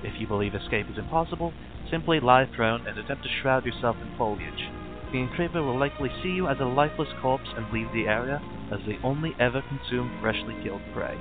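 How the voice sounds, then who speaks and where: A man reading scripted mock-documentary narration in a formal, measured, clinical manner. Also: medium pitch. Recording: band-limited lo-fi audio with background music. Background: music.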